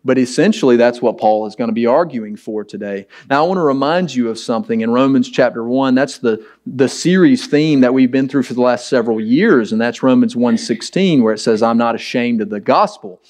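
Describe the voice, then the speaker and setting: Male pastor, raspy and hoarse, conversational emphatic preaching. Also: brisk, hardly any pauses, darkish tone. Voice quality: raspy, deep. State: hoarse from preaching late the night before. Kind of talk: sermon.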